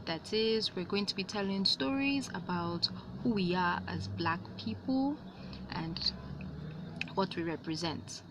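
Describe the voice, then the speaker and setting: A woman reading a story aloud as host, with animated delivery and dramatic, drawn-out emphasis on words. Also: medium pitch, background noise. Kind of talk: expressive read-aloud storytelling.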